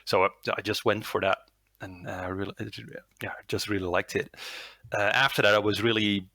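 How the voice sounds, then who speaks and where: A man's voice: lowish voice, flat delivery, fairly quick, pushed and bright, no room sound, studio quality. A man in his thirties from the Netherlands with a Dutch accent, talking through a headset on a video call, hesitant with many fillers and restarts. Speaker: guest, lead software developer. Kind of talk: casual interview chat.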